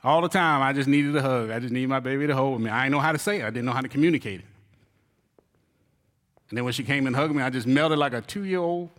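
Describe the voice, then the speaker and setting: A man preaching a sermon, telling a comic story with shouted cries and playful asides to the congregation. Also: medium pitch, fast talker. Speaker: pastor. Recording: long reverb of a large hall.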